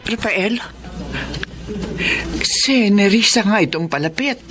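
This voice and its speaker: A woman's voice: mid-range voice, animated, slow. An actress playing an anxious, loving adoptive mother and wife in a Tagalog radio drama. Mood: worried, tearful.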